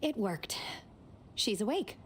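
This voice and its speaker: A woman playing a cool, blunt young woman with a formal, deadpan manner in an anime-style video game. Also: medium pitch, slow pace, a little hiss or hum.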